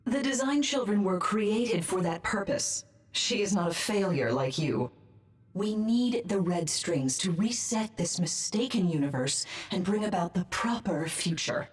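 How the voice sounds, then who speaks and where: A woman's voice: mid-range voice, expressive, measured pace, lightly acted. An actress playing a ruthless, contemptuous woman scientist with a clinical, disdainful delivery in a video game dub. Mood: cold, clinical.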